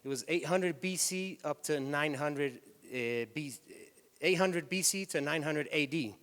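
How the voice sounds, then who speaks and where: A man's voice: on the high side, fairly bright tone, smooth. A man with a Spanish accent, whose first language is Spanish, gives a loose, chatty stage talk, often restarting his sentences. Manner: loose, chatty, self-correcting. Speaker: tour company head giving a talk. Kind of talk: stage presentation. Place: hall with audience. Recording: reverberant hall.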